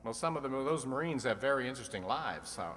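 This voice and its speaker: A man's voice: medium pitch, lively intonation, quick pace, a bit bright, close to an everyday voice. A man playing a wisecracking, teasing comedian who hosts an old-time radio quiz show, needling guests with mock-obtuse questions. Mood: genial banter. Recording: echoey hall with long reverb.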